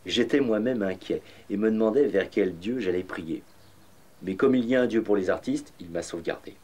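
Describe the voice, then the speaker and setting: Actor as husky, reminiscing male painter. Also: on the low side, normal speed, darkish tone, close to an everyday voice. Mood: reflective, nostalgic. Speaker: voice-over reading the painter's memoirs in a documentary. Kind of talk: scripted first-person narration.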